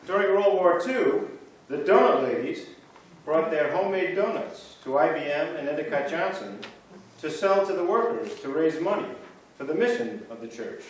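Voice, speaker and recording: A man performing reader's theater, reading scripted church history stories in a measured storytelling way, in a church. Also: fairly high pitch, expressive, a little hiss or hum. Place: church sanctuary. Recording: echoey church from far off.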